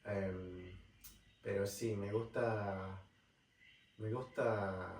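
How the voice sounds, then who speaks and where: A young man from California speaking with an Argentine accent in a casual vlog, halting and searching for words, recorded in a rental apartment. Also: fairly low pitch, darkish tone. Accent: Argentine Spanish. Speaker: travel vlogger. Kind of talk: casual talk to camera. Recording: roomy, distant mic, faint ambience.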